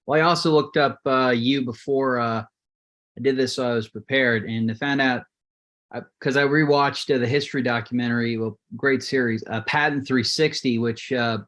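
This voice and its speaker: A man in his late twenties, speaking hesitantly with many pauses, eager about the history he has read up on. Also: medium pitch, fairly even intonation, a bit bright. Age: 20s. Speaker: call-in guest. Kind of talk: casual chat over a video call. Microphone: video call.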